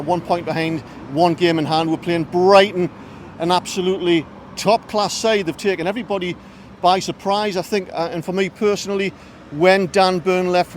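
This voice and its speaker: A man asks football questions on a city street in a pundit's eager manner. His voice sits a little high. Faint traffic hums behind him. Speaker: interviewer. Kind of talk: outdoor street interview.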